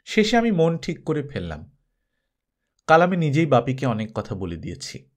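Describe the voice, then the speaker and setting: A man's voice: a little high, huge pitch swings, normal speed. A man voicing an anxious, devoted mother in an audiobook story, earnest and pleading. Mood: anxious confiding. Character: anxious, devoted young mother writing a pleading farewell letter.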